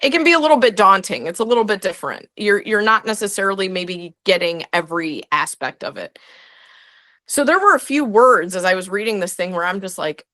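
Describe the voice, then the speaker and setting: Bright-voiced chatty woman. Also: medium pitch, expressive, brisk. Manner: chatty, lots of "like" and restarts. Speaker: guest historian. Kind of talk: casual interview answers.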